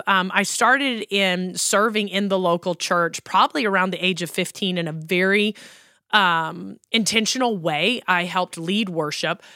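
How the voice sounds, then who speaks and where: A woman's voice: medium pitch, pushed and bright, smooth, dry recording, clean studio sound. A woman in her thirties, a worship pastor hosting a podcast, chatting about her life with many ums and likes. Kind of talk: casual personal storytelling.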